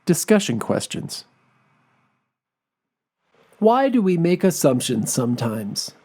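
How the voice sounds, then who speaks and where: Slow, lively storyteller man. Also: on the high side. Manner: expressive, gentle reading. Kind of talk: scripted children's story narration. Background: music.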